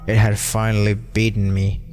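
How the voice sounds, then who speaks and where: A man's voice: on the low side, monotone, moderate pace, over music. A man reading a scripted first-person horror story as narrator, with a brooding, dramatic delivery.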